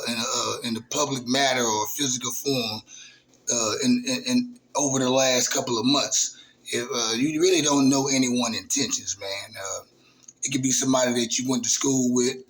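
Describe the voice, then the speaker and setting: A man, hosting his own channel in a casual, halting monologue full of fillers and restarts. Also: mid-range voice, fairly bright tone, low-quality recording. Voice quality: raspy voice. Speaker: YouTube channel host.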